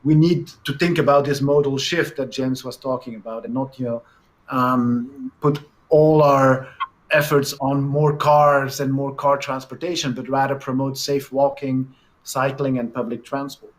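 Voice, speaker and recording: A man's voice: medium pitch, fairly even intonation, a little slow, poor audio. Man urging action on a panel. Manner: stumbles into repeated words, urging tone. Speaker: health agency director on a panel. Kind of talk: online panel discussion.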